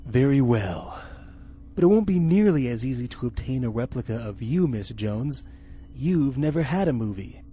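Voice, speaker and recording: Wildly animated, pompous space captain. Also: male voice, medium pitch, normal speed, slightly rough, soft background music. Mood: pompous, self-important. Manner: pompous, theatrical. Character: vain, incompetent, bombastic male spaceship captain. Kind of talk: campy 1950s space radio serial acting.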